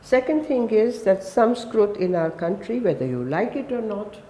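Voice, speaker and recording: A woman in her seventies, a guest from India who speaks Gujarati as her first language. She talks in English with an Indian accent and gives emphatic answers in quick lists. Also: mid-range voice, sing-song, warm tone, slight background noise. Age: 70s. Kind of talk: conversational interview. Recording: roomy echo.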